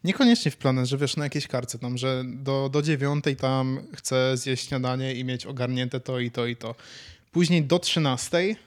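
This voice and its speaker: A man's voice: mid-range voice, moderate pace. A man, talking casually as a podcast co-host. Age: 20s. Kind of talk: casual chat.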